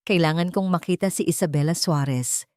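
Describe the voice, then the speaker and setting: A woman's voice: lowish voice, brisk, a little mellow, dry recording, studio quality, natural voice. Woman voicing an earnest, imploring young Filipino street vendor. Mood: pleading. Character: humble young male street vendor, devoted and pleading.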